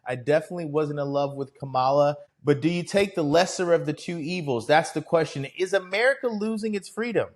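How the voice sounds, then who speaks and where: A man's voice: a little high, fairly quick, hardly any pauses. A forty-year-old man from Texas, hosting a solo podcast and talking emphatically straight to his audience with rhetorical questions. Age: 40s. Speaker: podcast host.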